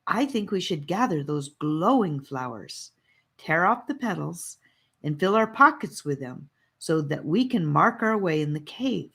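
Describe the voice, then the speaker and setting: A woman voicing a feisty, bossy but caring young girl in an audiobook, with an emphatic, expressive delivery. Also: on the low side, a little slow, slightly rough, exaggerated voice. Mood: earnest. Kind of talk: audiobook character voice.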